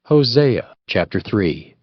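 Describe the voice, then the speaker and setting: Slow, husky, low-voiced male announcer. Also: big pitch swings, dry recording. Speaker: chapter announcer. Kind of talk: formal scripted announcement. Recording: muffled audio.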